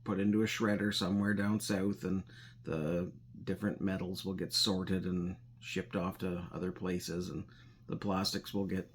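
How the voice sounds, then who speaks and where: A middle-aged man talking casually and unscripted in a home vlog, halting and rambling as he thinks aloud. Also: sits low, level tone, slight background noise. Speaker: hobbyist electronics recycler and video host. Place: indoors at a home coffee table.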